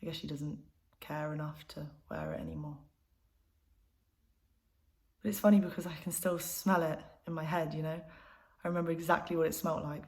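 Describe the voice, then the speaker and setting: A woman's voice: low-pitched, expressive, brisk, pauses often. A young woman acting an intimate, conversational monologue as a troubled granddaughter confiding in her mother. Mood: sad, subdued. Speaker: actor performing a self-written monologue.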